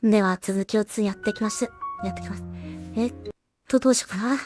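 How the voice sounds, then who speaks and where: A woman's voice: mid-range voice, fast talker, darkish tone, soft background music. A woman casually talking to herself as she works, often mumbling her thoughts aloud as a solo creator on a stream. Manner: mumbling, thinking aloud while working. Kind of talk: casual work-along commentary, talking to herself.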